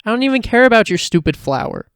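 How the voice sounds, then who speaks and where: A woman's voice: a little high, big pitch swings, fast, vocal fry, clean tone, no echo. A woman playing a frightened but defiant human girl in an audio story, blunt and exclamatory, swearing freely. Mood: defensive.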